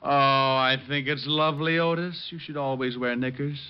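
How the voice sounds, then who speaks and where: An actor playing a wisecracking, cool-headed private detective with dry, needling sarcasm in a 1950s radio comedy-drama style. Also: male voice, mid-range voice, steady tone, moderate pace, close to an everyday voice. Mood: wry, puzzled. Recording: muffled, noisy old-time radio recording.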